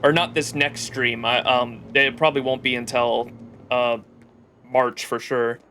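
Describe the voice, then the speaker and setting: Bright-voiced, excitable male game streamer. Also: mid-range voice, measured pace, slight background noise. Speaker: livestreamer. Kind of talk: casual game stream commentary. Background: game music.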